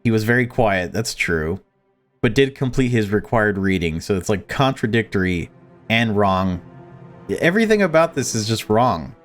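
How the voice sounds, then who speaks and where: A man's voice: lowish voice, animated, moderate pace, soft background music. A man reading a visual novel aloud as a let's player, breaking off into casual commentary.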